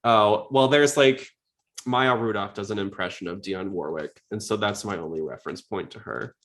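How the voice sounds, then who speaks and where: A man's voice: on the low side, normal speed, fairly bright tone. A man hosting a podcast in casual chat, rambling along in a chatty, jokey way. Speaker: podcast host.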